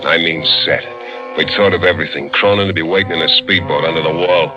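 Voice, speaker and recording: Deep, fast-talking tough convict. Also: male voice, fairly even intonation, music in the background. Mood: tense recounting. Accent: American. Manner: clipped, insistent, hard-boiled. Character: tough, determined convict planning a prison break. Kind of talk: 1950s radio drama acting, hard-boiled. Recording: muffled 1950s radio recording.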